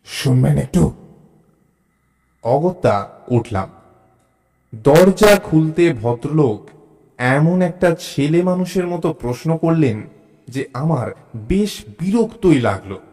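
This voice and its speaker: A man narrating a suspense story in the first person, in a measured, theatrical way. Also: fairly high pitch, expressive, a little mellow. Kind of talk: dramatised suspense story narration, acting out dialogue.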